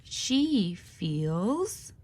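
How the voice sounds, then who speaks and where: Woman, mid-pitched, very unhurried. Expressive children's read-aloud in a speech therapist's teaching style, with pauses and questions. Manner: expressive storytelling with questions to young listeners; slow, deliberate, with pauses.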